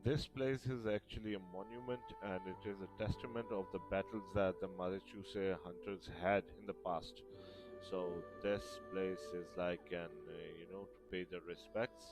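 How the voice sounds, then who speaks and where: A man with a low voice gives casual commentary as he plays. He tends to repeat short phrases, and game music plays clearly behind him. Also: fairly even intonation, normal speed. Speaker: gaming video host.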